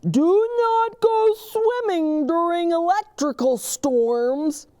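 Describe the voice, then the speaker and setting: A woman voicing an earnest male police officer in a storybook, reciting safety tips to children in a slow, emphatic, drawn-out delivery. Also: very high voice, dark tone, voice pushed up. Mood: emphatic, instructive.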